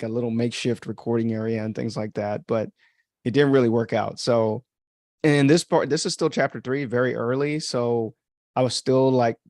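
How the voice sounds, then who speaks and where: A man's voice: medium pitch, darkish tone, no room sound, studio quality. An American man giving casual, off-the-cuff commentary as a podcast host, chatty and informal with reflective asides.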